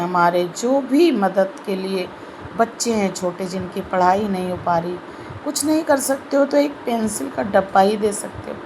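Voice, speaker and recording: A woman with a sore throat giving a slow, earnest devotional talk in an online video. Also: mid-range voice, a little mellow, a little hiss or hum, rough recording. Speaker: spiritual video host.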